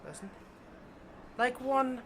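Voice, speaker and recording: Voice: sits high, drawn-out words, noisy background. Smug, teasing vampire, acted out for roleplay. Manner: teasing, condescending, drops to whispers. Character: smug possessive vampire, teasing, confident, menacing predator. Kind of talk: ASMR roleplay monologue.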